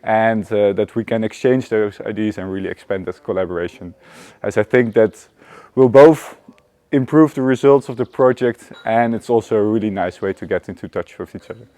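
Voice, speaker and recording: A young man speaks in a mellow, dark voice, sounding relaxed as he introduces himself. The sound is close and dry. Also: on the low side, moderate pace, dry recording. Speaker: PhD student. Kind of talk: short self-introduction to camera.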